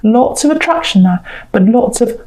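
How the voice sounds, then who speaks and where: A woman's voice: fairly high pitch, lively intonation, normal speed, mellow. A woman reading tarot cards off the cuff, speaking directly to the listener in a hesitant, reflective way and repeating phrases for emphasis. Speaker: tarot reader, host. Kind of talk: intuitive tarot reading, unscripted talk to the viewer.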